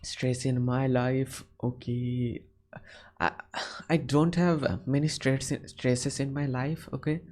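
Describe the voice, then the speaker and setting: A man's voice: mid-range voice, level tone, slow, a little mellow. A man from India with an Indian English accent, a teacher chatting in English over a call, often restarting his phrases. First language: Bengali. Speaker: teacher and host. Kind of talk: casual conversation.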